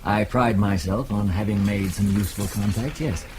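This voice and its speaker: An actor playing a courteous, probing journalist in a radio drama, confiding and measured. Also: male voice, lowish voice, steady tone, darkish tone, slight background noise, natural voice. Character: smooth, probing American male journalist.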